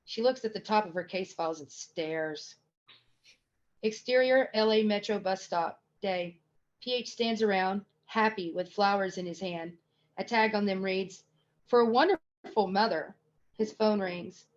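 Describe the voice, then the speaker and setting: A woman's voice: medium pitch, measured pace, highs cut off. A woman reading screenplay scene headings and stage directions as scripted narration.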